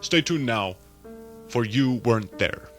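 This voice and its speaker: A man playing a disdainful radio drama host, pompous and deadpan in mock-serious scripted narration. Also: medium pitch, unhurried, soft background music, lightly acted. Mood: dry disdain.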